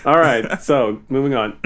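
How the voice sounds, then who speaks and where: A man with an average-pitched voice hosts a relaxed group Q&A. He talks informally and hesitantly, often correcting himself as he works toward an answer. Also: slow pace, gravelly. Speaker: panel host and musician reading out fan questions. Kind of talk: casual group Q&A chat. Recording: compressed group call audio.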